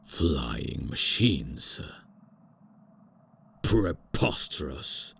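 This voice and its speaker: Man doing an ancient vampire lord, very deep, slow and pompous, gravelly. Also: slight background noise, heavily acted. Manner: pompous, theatrical. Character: ancient haughty male vampire lord, out of touch. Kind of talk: ASMR comedy roleplay.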